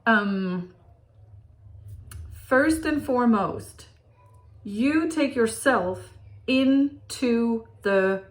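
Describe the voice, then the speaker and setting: A woman from Denmark with a Danish accent, a relationship and breakup coach speaking earnestly to her viewers, with many fillers and restarts. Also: a little high. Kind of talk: direct-to-camera talk to viewers.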